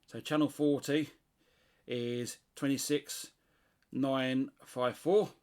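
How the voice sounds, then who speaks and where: A man's voice: medium pitch, fairly even intonation, slow, a little mellow. A middle-aged British man giving a casual hands-on demonstration, thinking aloud as he goes. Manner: unscripted, thinking aloud while testing. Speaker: CB radio hobbyist presenter.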